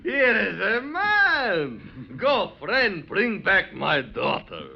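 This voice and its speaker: Muffled old radio drama, crafty pleading farmer, gravelly fry, very animated. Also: male voice, high voice, very slow, exaggerated voice. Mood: hearty. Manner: florid, pleading, melodramatic. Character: wily, theatrical immigrant farmer, haggling father. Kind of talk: old-time radio western acting. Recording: muffled vintage radio recording.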